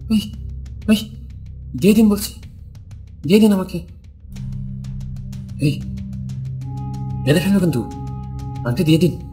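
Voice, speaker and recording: An actor playing a greedy, callous, lecherous villager and killer in a horror cartoon, exclaiming and muttering theatrically. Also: male voice, sits high, animated, slow, pauses often, mellow, soft background music. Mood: frustrated, threatening.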